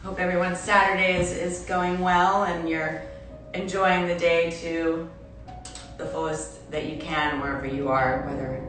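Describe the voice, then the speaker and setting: A woman from Belgium in her forties chatting casually on a livestream as an artist, with a halting manner and trailing restarts. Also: on the low side, flat delivery, a bit bright. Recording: echoey room, distant mic, music behind.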